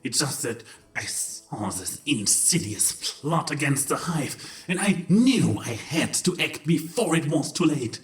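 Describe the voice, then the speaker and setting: A man's voice: on the high side, very animated, measured pace. A man voicing a servile, eager changeling minion in a cartoon-style audio story, fawning and deferential, recorded in an echoey room. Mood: apologetic, fawning. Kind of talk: dramatic cartoon-style character acting. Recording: echoey room, faint music behind.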